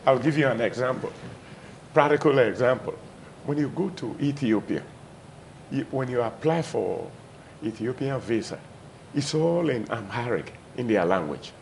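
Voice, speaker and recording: Gravelly Nigerian male guest. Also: medium pitch, animated, moderate pace, a little hiss or hum. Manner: firm, confident arguing with examples. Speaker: studio guest. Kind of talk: studio discussion.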